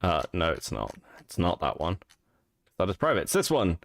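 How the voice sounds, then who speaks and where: A male stream host reacts to clips in open disbelief, swearing and breaking into laughter often. His voice swings widely in pitch, and the recording is dry and close. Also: wildly swooping pitch, moderate pace, creaky, dry recording. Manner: incredulous and profane, laughs often.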